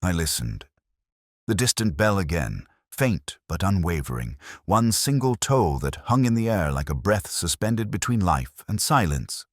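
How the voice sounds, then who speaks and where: A man's voice: low-pitched, sing-song, a little slow, no room sound, studio quality. A man reading a scripted mystery story as a dramatic narrator.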